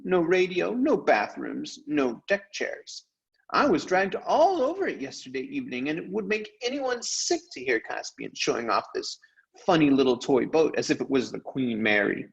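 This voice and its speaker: A man's voice: fairly high pitch, creaky, lo-fi sound, full character voice. A man voicing a priggish, self-important schoolboy who whines and complains pompously as he reads out his diary for a storybook. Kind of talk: dramatized audiobook reading.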